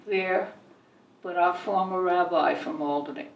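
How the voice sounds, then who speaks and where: An older woman reminisces about her own life as an interviewee, reflective and often halting. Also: lowish voice, fairly even intonation, vocal fry, highs cut off. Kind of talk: reminiscing, personal storytelling. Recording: echoey room, distant mic.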